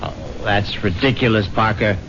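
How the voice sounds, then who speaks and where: Low-voiced pompous gentleman, played by a man. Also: fairly even intonation, normal speed, a little hiss or hum, lightly acted. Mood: irritated. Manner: pompous, fussy, hesitant. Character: pompous, excitable well-to-do widower, haunted and lecherous. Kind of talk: 1970s radio drama acting and first-person narration. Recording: dull vintage radio broadcast, music behind.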